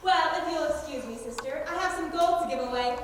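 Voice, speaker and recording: Teen girl playing a high-pitched cocky outlaw heroine, echoey hall. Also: a little fast, natural voice. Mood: wry, teasing. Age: teenager. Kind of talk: high school stage play acting. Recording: distant, echoey hall with background noise.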